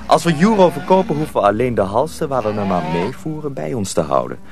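A man playing a calm senior mill journeyman in a radio play, reassuring and gently instructive. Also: mid-range voice, fast, soft background music. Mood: calm, guiding.

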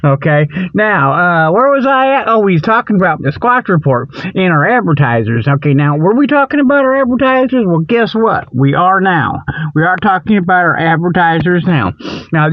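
A man acts out a folksy comic radio host with a high-pitched voice. He delivers a mock report in loose, colloquial talk, circling back and repeating himself. The sound is dry and slightly dull, like lo-fi radio. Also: dry recording. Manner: rambling, repetitive, chuckles at own jokes. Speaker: comedy radio show host. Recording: dull lo-fi audio.

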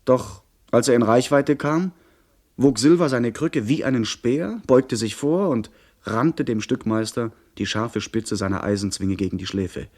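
An actor playing a young gentleman who recounts a pirate adventure as the narrator of a radio play, in measured literary storytelling. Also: mid-range voice, close to an everyday voice. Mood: tense, narrating.

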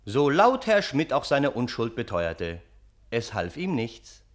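An actor playing a wry, wordy gentleman who narrates a German radio play in measured, ornate, ironic phrases. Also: medium pitch, wildly swooping pitch. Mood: dramatic narration. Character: wry, wordy storytelling uncle, Russian gentleman, scheming and earnest.

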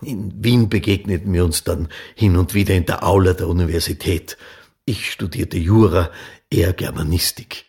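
Soma Morgenstern, very husky, low. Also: male voice, normal speed, darkish tone, full character voice. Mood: reflective. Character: Soma Morgenstern, reflective male friend recalling student days. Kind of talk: German radio play, memoir narration.

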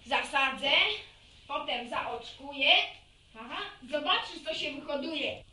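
A woman playing an eager, cocky young village boy in a radio drama, quick to answer back. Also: sits high, pushed and bright, exaggerated voice. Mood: eager, proud. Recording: echoey radio drama recording.

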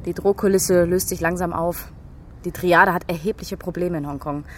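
A woman's voice: lowish voice, steady tone, moderate pace, lightly acted. An actress playing a friendly, teasing policewoman in a radio drama, speaking casually. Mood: relaxed, teasing. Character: friendly, teasing policewoman, older than her colleague. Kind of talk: naturalistic radio drama dialogue.

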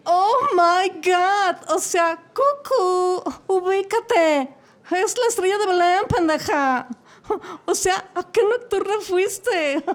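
A woman's voice: extremely high pitch, takes it slowly. A woman with a Mexico City accent playing a snobbish, mocking young woman who drawls and drops English words, giggling as she talks. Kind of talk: comedic radio play acting.

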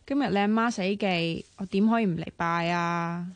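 A woman acting in a radio drama as a playful, bossy young woman who is tight with money, teasing and sharp-tongued in Hong Kong Cantonese. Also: fairly low pitch, moderate pace, dry recording, natural voice. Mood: complaining, fond.

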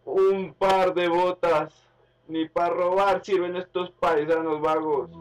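Gruff bossy country cop boss in a radio play guy acting it, slow and curt, sounds far from mic. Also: high voice, fairly even intonation, voice pushed up. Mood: scornful. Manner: curt, commanding, contemptuous. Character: gruff, scornful male rural police chief. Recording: muffled, distant, music behind.

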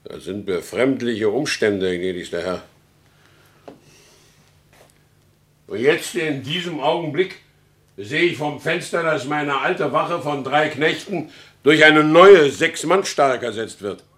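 An actor playing a wronged horse dealer in a German radio drama, courteous and formal but insistent. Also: male voice, medium pitch, expressive, a little slow, fairly bright tone. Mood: wary, suspicious. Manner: formal, deferential yet insistent. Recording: roomy studio radio drama recording.

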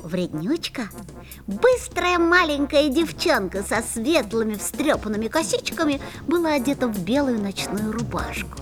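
Kid boy telling his story, high swoopy voice, music under it, fairy tale play. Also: big pitch swings, measured pace. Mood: amused. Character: excited young boy narrating his own adventure. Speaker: narrator. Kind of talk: storybook narration in an audio play.

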